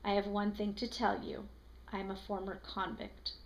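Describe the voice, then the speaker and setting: A woman voicing a calm, solemn old man who was once a convict, speaking slow, low and quiet. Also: lightly acted. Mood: grave.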